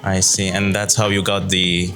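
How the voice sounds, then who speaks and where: A man hosting an interview, asking calm questions and giving short acknowledgments. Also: low voice, normal speed, faint music behind. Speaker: interview host.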